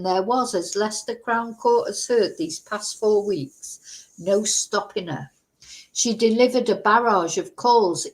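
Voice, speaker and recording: A woman's voice: on the high side, poor audio. A woman hosting a true crime livestream. She reads a news article aloud and keeps breaking off for chatty asides and self-corrections. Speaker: true crime stream host. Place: livestream at home.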